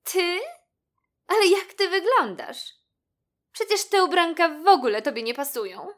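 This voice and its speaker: Woman plays mean girl kitten for storybook audio: high-pitched, haughty, cold, scornful of others. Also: flat delivery, measured pace. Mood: snooty, scornful. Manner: cold, snooty, dismissive. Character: haughty, vain young female kitten, mean schoolgirl. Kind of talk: children's storybook character voice.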